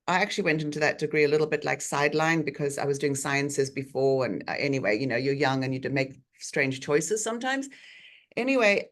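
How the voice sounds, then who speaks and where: A woman in her forties from South Africa, an osteopath giving a chatty online talk with frequent ums, on a video call from a bright treatment room. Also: deep voice. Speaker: osteopath guest speaker.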